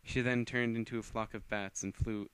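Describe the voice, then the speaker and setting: A man's voice: on the low side, fairly even intonation, moderate pace, fairly bright tone, smooth, no room sound. A man narrating fan fiction as an amateur reader, often stumbling and rereading phrases.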